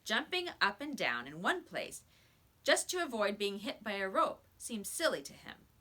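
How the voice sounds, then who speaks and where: Lady reading a kids book out loud, bright voice, really lively expressive narration. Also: mid-range voice, normal speed. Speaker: storybook reader. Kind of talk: expressive scripted narration for children.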